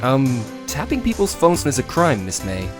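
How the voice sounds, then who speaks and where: A man's voice: medium pitch, huge pitch swings, normal speed, music in the background. An actor voicing an exasperated but polite young male defence lawyer from a video game, with hesitant, sardonic asides. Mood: probing. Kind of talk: dramatized video game dialogue.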